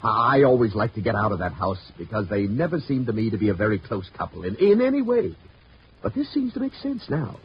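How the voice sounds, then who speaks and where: A man's voice: fairly low pitch, sing-song, a little fast. An actor playing a hesitant, gossipy insurance agent in a 1950s radio drama, musing as he talks. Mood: musing, puzzled. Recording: dull, noisy old radio recording.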